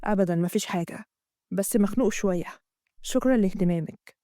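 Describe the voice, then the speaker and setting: A woman voicing a smooth-talking, manipulative young man with an Egyptian accent and a low, soft murmur. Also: fast talker, dry recording, studio quality, close to an everyday voice. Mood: low-key. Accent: Egyptian Arabic. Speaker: storyteller voicing a character.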